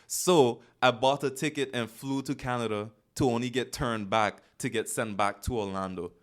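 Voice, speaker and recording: A man's voice: mid-range voice, moderate pace. A young man from the Caribbean with a West Indian accent, telling stories as co-host of a casual podcast chat. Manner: relaxed storytelling with slang.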